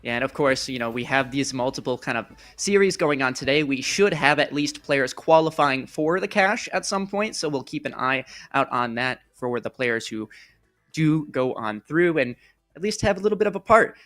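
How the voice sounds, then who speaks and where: A man's voice: mid-range voice, animated, normal speed, fairly bright tone, soft background music. A man hosting an esports broadcast in casual, rambling live desk chat, jokey, self-deprecating and enthusiastic. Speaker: esports broadcast host and caster.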